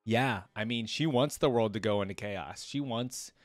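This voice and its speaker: Low-voiced young man, dry close sound. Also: moderate pace, dry recording, soft background music. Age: young adult. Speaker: reaction video host. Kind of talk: reading a story aloud with casual commentary. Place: indoor room at a computer desk.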